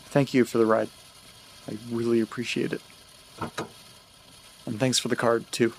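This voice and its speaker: Guy playing a jittery stammering young man, mid pitch, faint hiss. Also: little pitch movement, moderate pace, creaky, lightly acted. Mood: wry. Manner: tense, stammering, frantic. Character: anxious young man searching for his dog, Nate. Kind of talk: audio drama acting.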